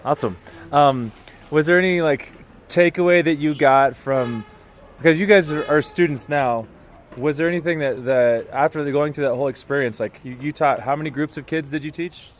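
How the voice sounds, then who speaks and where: Casual male interviewer, higher-pitched voice. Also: brisk, a little hiss or hum. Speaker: teacher interviewing students. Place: outdoors in a city.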